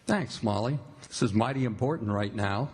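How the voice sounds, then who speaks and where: Man as husky, chummy radio announcer. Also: lowish voice, moderate pace, darkish tone. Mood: earnest pitch. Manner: chummy, salesmanlike. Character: chummy radio pitchman announcer, adult male. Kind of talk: 1940s radio comedy acting.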